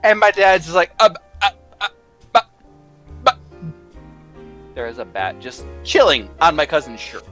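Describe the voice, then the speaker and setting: A man's voice: a little high, sing-song, normal speed, pauses often, a bit bright. A young man in casual chat, telling anecdotes in a joking, rambling way. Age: young adult. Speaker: show cast member. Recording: music behind. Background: music.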